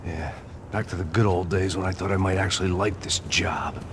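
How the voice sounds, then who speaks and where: An actor playing a weary, sardonic middle-aged American tough guy in a video game cutscene, dry and deadpan. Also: low-pitched, fairly quick, noisy background, close to an everyday voice.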